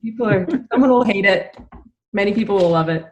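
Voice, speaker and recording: A woman's voice: medium pitch, brisk. A woman on a book panel, chatting casually and reading short reviews aloud.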